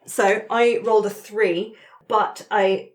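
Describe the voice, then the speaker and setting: A woman's voice: mid-range voice, little pitch movement, slow, a little hiss or hum. A woman narrating and facilitating a tabletop roleplaying game among friends in a casual, chatty, encouraging way.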